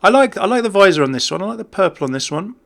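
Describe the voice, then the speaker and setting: A man's voice: high voice, sing-song, racing, vocal fry. A man giving casual, off-the-cuff game commentary as a YouTuber, chatting and thinking aloud as he goes. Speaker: gaming YouTuber.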